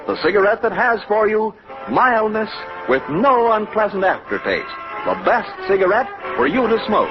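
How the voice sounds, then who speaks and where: An American man doing polished, emphatic vintage radio announcing and ad reads. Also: high-pitched. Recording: muffled old radio, music behind.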